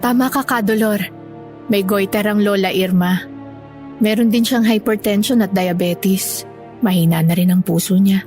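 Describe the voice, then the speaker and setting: Woman playing hopeful, trusting sick woman, medium pitch. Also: steady tone, moderate pace, darkish tone, soft background music, natural voice. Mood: earnest. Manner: earnest, trusting. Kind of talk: horror story audio drama acting.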